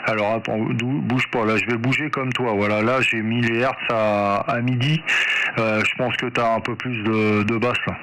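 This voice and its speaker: A man's voice: medium pitch, flat delivery, normal speed. Man chatting over a noisy radio. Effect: two-way radio transmission. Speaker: amateur radio operator. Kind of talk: casual radio contact chat. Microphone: radio microphone. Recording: noisy radio transmission with clicks.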